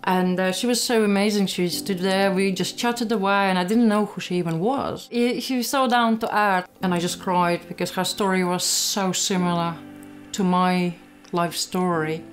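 A woman in her thirties with a Latvian accent, giving a candid, halting personal testimony. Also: fairly low pitch, steady tone. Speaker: church member giving testimony. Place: small living room. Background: background music.

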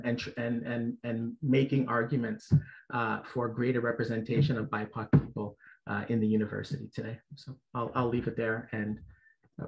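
A middle-aged man talks through a headset mic on a video call from home. His speech is halting, full of ums and restarts, and he speaks at a middle pitch for a man. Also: lo-fi sound. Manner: halting, many ums, warm asides to students. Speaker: professor and university administrator. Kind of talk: panel remarks. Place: home room, indoors.

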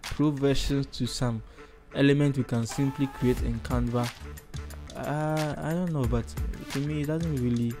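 A man with a Ghanaian accent talks through a casual screen tutorial. He is chatty and rambles a little, thinking aloud in a middling pitch. Music plays clearly behind his voice. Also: steady tone, unhurried. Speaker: tutorial host.

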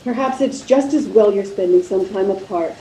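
An older woman plays a sensible, prim Edwardian confidante in a radio drama. Her voice is mellow and genteel, with measured wording, heard in a roomy space on a video call. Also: medium pitch, a little hiss or hum, natural voice. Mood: cautioning. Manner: genteel, measured period diction. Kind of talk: radio mystery play acting. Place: room at home, video call.

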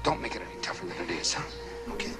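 An actor playing a scheming politician who pressures and soothes in a 1970s TV crime drama. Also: male voice, deep voice, lively intonation, racing, gravelly. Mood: tense, pressuring. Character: smooth, scheming male politician soothing and pressuring his lover. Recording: music behind the dialogue.

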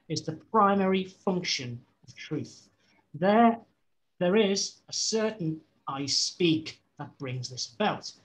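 Scouse man reading aloud haltingly. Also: fairly high pitch, fairly bright tone, rough recording. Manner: halting reading with restarts and self-corrections. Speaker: study group member reading aloud. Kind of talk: reading a seminar text aloud. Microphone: video call.

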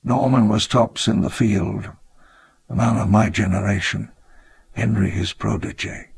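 A wistful old founder looking back on his grand dream, in a game's memoir narration. His voice is low and deeply gravelly, with a rough, husky edge. He speaks in a measured, reflective way. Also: fairly even intonation, exaggerated voice. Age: older. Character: elderly male theme park founder, wistful and grand. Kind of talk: scripted memoir narration in a game.

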